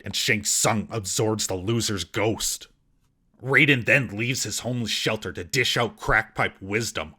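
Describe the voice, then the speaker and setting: Forceful, husky male reviewer. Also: fairly low pitch. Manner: sarcastic, mocking. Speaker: host, movie reviewer. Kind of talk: scripted comedic review narration.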